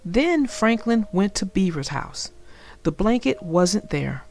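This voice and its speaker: A woman narrating a children's story aloud in an expressive storytelling manner. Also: medium pitch, slow pace, faint music behind.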